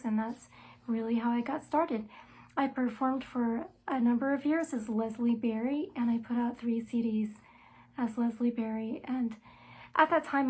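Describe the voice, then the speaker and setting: A middle-aged woman musician with a light voice talks about her music in a thoughtful, measured way, pausing often on "um" and "uh". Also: fairly high pitch, husky, low-quality recording. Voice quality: light, soprano-range voice. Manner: thoughtful and measured, with frequent "um" and "uh" pauses. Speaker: musician and songwriter interviewed about her album. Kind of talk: self-told interview answers, conversational.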